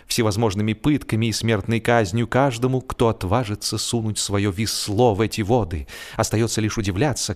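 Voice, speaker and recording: A man narrating humorous literary prose like an audio play, with expressive, theatrical delivery and deliberate, drawn-out phrasing. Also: mid-range voice. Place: studio.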